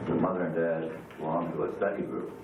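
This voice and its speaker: A man of seventy-two reminiscing in a measured, halting way for a family oral history recording. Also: a bass voice, flat delivery. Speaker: family member recalling childhood memories. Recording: tinny, very echoey, distant, noisy home recording.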